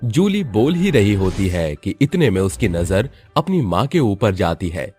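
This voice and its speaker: A man's voice: fairly low pitch, big pitch swings, measured pace, darkish tone, soft background music. A man narrating a cartoon horror story in a scripted storytelling manner.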